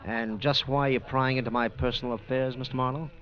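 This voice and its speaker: A man's voice: mid-range voice, steady tone, quick pace, natural voice. A man playing a stiff, irritable businessman husband in an old radio drama, curt, touchy and formal. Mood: defensive. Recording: dull, noisy old radio recording.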